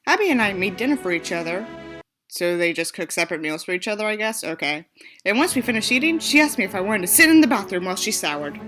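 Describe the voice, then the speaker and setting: Bright, sarcastic woman reading an audiobook. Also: medium pitch, very animated, quick pace, faint music behind. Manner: sarcastic, sweary, theatrical. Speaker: audiobook reader and commentator. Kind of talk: scripted narration with sarcastic asides.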